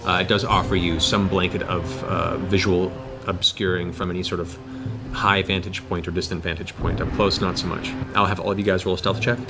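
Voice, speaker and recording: Low-voiced game master over music. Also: male voice, level tone, a little fast. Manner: descriptive, dramatic storytelling. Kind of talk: narrating a tabletop fantasy game.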